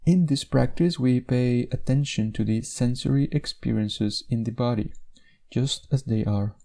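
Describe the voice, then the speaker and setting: A man leading a guided meditation in a measured, instructional way, with gentle pauses between phrases. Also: medium pitch, creaky.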